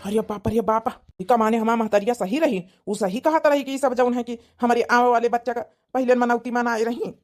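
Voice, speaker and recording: A woman's voice: on the high side, fairly even intonation, fairly quick, few pauses, a little mellow, faint music behind, lightly acted. A woman voicing a suspicious, worried young village husband with an Awadhi accent, muttering and exclaiming in a comedy cartoon. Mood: shocked, worried.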